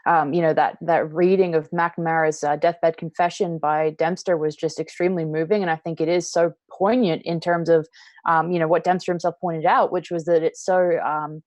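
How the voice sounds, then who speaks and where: A woman's voice: sits low, flat delivery, moderate pace, darkish tone, no room sound. A woman from the United States giving conversational political commentary, searching for her phrasing as she goes. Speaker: panel co-host.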